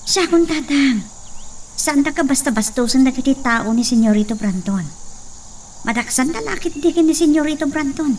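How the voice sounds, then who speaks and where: An actress playing a dutiful, worried young farm daughter in an Ilocano radio drama. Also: female voice, sits high, lively intonation, moderate pace, a little mellow. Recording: distant mic, faint cricket noise.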